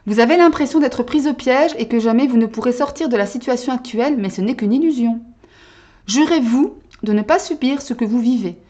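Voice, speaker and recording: A woman's voice: fairly high pitch, brisk, mellow, lo-fi sound. Chatty woman giving a tarot reading. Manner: chatty, peppered with "hein" and "d'accord?". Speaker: tarot card reader. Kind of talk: casual tarot reading to camera. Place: Belgium.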